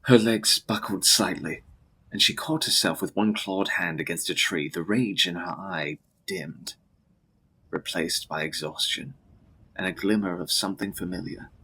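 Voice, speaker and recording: Deep, husky male gothic narrator. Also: normal speed, pushed and bright. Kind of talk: dramatic scripted narration of gothic fiction.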